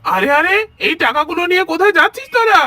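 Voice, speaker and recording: A scheming, greedy man from a children's doll story, played by a woman. The voice is high and forceful, bossy and insistent, recorded close and dry. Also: big pitch swings, quick pace, no room sound, full character voice. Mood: bossy, demanding.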